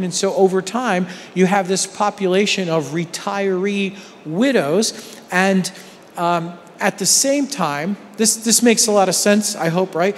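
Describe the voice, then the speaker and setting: Middle-aged guy teaching bible class, higher voice, keeps asking "right?", big echoey church. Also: slight background noise. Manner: conversational, often checks in with "right?". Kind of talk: Bible study lecture. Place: large church sanctuary with seated class. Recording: reverberant church hall.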